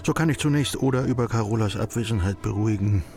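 An actor playing a wordy, resigned, ironic student friend in a radio drama, speaking in a measured, dry manner. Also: male voice, lowish voice, darkish tone, soft background music, close to an everyday voice. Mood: dry, resigned irony. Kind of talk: literary radio drama acting.

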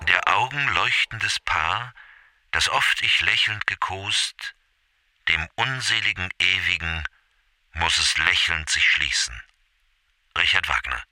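Male reciter, low pitch, slow pace, scripted literary quotations, dry sound. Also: sharp tone, dry recording. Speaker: reader of literary epigraphs.

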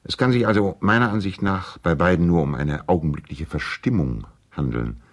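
Calm reasonable male lawyer, deep voice, formal and deliberate, gently scolding, old radio play letter reading. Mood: earnest, reproving. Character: measured, conciliatory lawyer and loyal family friend, gently reproving. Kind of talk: 1970s radio play, letter read aloud in character.

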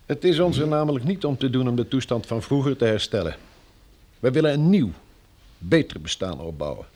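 A male actor as a diplomatic envoy of a pioneer community in a radio drama. He is formal and persuasive, firm under his courtesy, and his medium-pitched voice moves up and down with lively intonation. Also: fairly quick, close to an everyday voice. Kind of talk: radio play acting.

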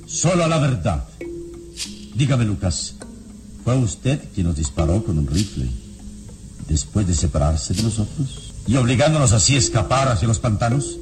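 An actor in a radio drama playing a solemn, relentless and eloquent heroic investigator who questions in formal, theatrical diction. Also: male voice, lowish voice, very animated, normal speed, over music. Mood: coolly insistent. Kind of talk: classic Latin American radio drama acting.

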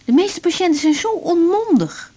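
An actress playing an idealistic young doctor in a radio drama, speaking candidly with reflective hesitations. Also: female voice, very high-pitched, big pitch swings, normal speed, dark tone. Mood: earnest. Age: young adult. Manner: hesitant, reflective, self-searching. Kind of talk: naturalistic radio drama dialogue.

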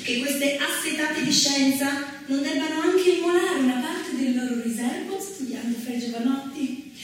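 Actress as pompous high-voiced official, very echoey. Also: female voice, a little hiss or hum, close to an everyday voice. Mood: condescending. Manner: pompous, measured, emphatic. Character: stern, pompous early-century official decreeing women's place. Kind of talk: theatrical declamation. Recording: very echoey, distant mic, music behind.

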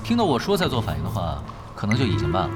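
An actor playing a calm, self-assured expert online gamer in an audio drama, casual and matter-of-fact. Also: male voice, on the low side, rapid-fire, over music. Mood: confident. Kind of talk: Chinese audio drama acting.

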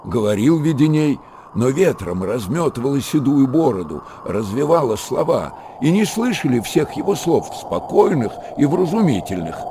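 A man performing as an expressive folk storyteller, narrating a literary radio drama with a husky voice. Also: medium pitch, moderate pace, few pauses, background noise, close to an everyday voice. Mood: storytelling.

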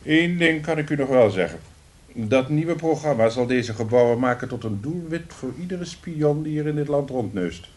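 A man's voice: medium pitch, expressive, moderate pace, hardly any pauses, natural voice. An actor in a radio play as a blunt, sardonic male director, dry and commanding in a job interview. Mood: businesslike. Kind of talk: radio drama acting.

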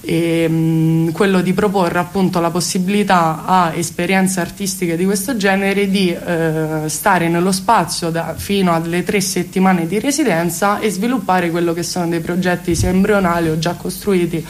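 A woman's voice: on the low side, fairly even intonation, normal speed, few pauses. A woman speaks as an interview guest, explaining earnestly and at length with hesitant phrasing. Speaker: guest, cultural space activist. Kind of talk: spoken radio interview, explanatory.

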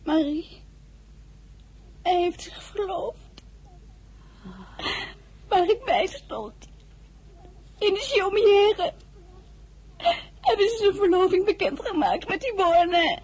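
An actress playing a heartbroken, lovesick teenage girl in a radio drama, speaking haltingly and repeating words. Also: very high-pitched, animated, darkish tone, heavily acted.

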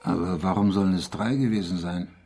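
A man's voice: sits low, fairly even intonation, a little fast, music in the background. An actor playing a troubled, bitter village man, brooding and intense, in a German radio crime drama. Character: troubled, bitter rural Frenchman, haunted by village violence.

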